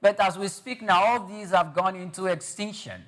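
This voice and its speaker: A man interviewing a guest, asking long questions in a measured, reflective way. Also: high voice, fairly bright tone.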